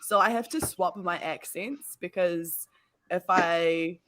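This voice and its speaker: A woman's voice: on the low side, slow pace, a bit bright. A woman from New Zealand with a New Zealand accent, a chatty, self-deprecating guest on a casual live chat.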